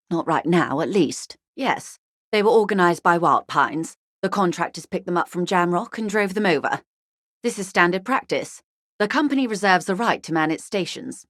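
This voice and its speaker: A woman's voice: on the low side, no echo, clean studio sound. A woman playing a poised, wealthy, shrewd corporate negotiator in a video game, speaking formally and with polite, guarded care. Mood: guarded, matter-of-fact. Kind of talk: video game character dialogue.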